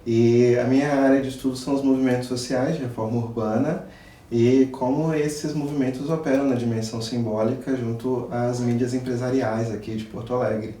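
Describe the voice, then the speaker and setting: A young man from Espírito Santo, Brazil, a master's student, introducing himself as a guest in a measured, somewhat formal way. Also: medium pitch, steady tone, dark tone. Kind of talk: self-introduction in an interview. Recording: distant, roomy mic with faint noise.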